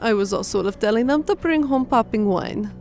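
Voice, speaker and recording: A woman's voice: fairly high pitch, lively intonation, a little fast, a little mellow, faint music behind. A woman voicing a young, eager female creature who speaks in broken, oddly built sentences, in an audiobook story. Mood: eager to please. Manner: broken, oddly phrased foreign-sounding grammar. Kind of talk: audiobook character acting.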